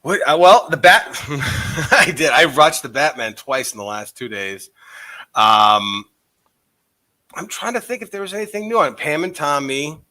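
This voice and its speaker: A man's voice: fairly high pitch, big pitch swings, a little slow, sharp tone. A man co-hosting a podcast, intoxicated, rambling casually with lots of swearing. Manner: profane, meandering, enthusiastic. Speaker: podcast co-host.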